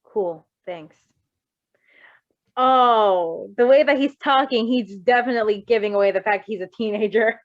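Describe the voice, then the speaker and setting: A woman's voice: fairly high pitch, normal speed, hardly any pauses, no echo, dull audio. A woman reading a story aloud expressively, in an informal, giggly way, breaking off to comment casually. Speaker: fan fiction reader.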